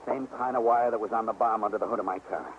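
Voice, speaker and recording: A man's voice: on the low side, fairly even intonation, natural voice. Man playing an uneasy country fellow, noisy recording. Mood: troubled. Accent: American. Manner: halting, protesting. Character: uneasy, defensive male country neighbour under questioning. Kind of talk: mid-century radio drama acting. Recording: noisy, muffled old radio recording.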